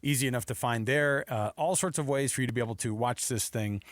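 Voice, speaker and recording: A man hosting a sports radio talk show in an informal, slangy, animated way. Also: medium pitch, fast talker, no echo, clean studio sound.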